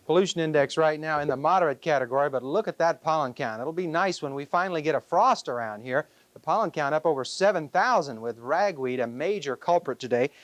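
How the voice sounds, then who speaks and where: A man's voice: medium pitch, no room sound. A man presenting a conversational television weather report in a studio. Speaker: TV weather forecaster. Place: TV news studio.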